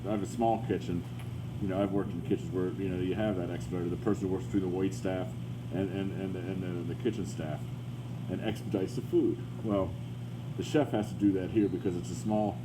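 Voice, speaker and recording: A man who works as a chef, talking casually in a rambling way with many restarts and a deep voice. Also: steady tone, brisk, noisy background. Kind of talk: casual chat.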